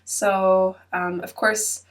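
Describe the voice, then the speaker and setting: A woman's voice: medium pitch, level tone, drawn-out words, far from the mic. A woman hosting her own channel, chatting casually over a flip-through with a congested, stuffy-nosed voice and plenty of ums and hedges. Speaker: channel host. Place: at her computer.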